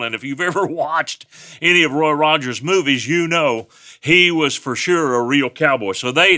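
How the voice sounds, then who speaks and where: Male pastor, West Texas accent, folksy storyteller, forceful voice pitched a bit high. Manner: folksy storytelling with personal anecdotes. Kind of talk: sermon.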